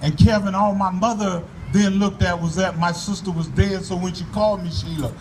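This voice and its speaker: A man giving an earnest, folksy address to a crowd at an outdoor rally in a park, speaking loudly into a microphone and urging people to come closer. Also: sits high, fairly even intonation, moderate pace. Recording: voice from far off the mic, faint background noise.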